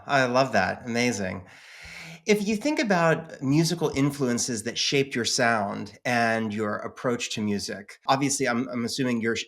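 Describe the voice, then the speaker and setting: A man's voice: mid-range voice, fairly bright tone. Man asking long, hedging interview questions. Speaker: podcast host.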